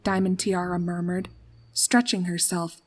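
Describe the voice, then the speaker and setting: A woman's voice: on the low side, flat delivery, unhurried. A woman reading a scripted story as narrator in a dramatic storytelling style. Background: background music.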